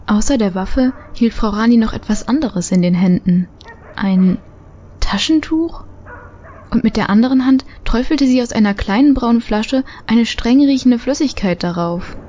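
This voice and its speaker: A woman's voice: on the high side, animated, moderate pace, mellow, muffled recording. A woman giving scripted, dramatic narration for a radio play. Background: music.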